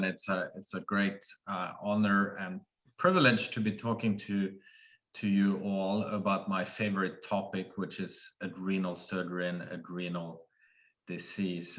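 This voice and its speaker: A middle-aged man from Sweden with a Swedish accent, giving a webinar presentation as a surgeon in a halting way, full of fillers and restarts. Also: low-pitched, a bit bright, dull audio. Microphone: video call.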